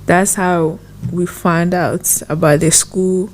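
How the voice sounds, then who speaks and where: A young woman from Rwanda telling her story as a podcast guest, soft-spoken and thoughtful, her voice trailing off at phrase ends. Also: lowish voice, steady tone, slow pace, slight background noise, poor audio. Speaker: guest, former foreign student. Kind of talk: conversational storytelling.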